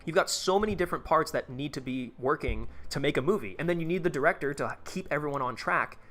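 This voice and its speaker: A man's voice: a little high, expressive, fast talker, fairly bright tone. A man live-streaming a tycoon game, chatting casually with his viewers in a rambling, profane way while he plays. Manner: rambling, profane, talks to chat while playing.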